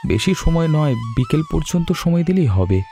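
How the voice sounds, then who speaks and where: An earnest, humble village weaver played by a man in a cartoon tale, mellow and plaintive. He is an anxious, deferential husband, with light music behind him. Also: fairly high pitch, big pitch swings, a little fast. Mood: apologetic, humble. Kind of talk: Bengali animated story dialogue.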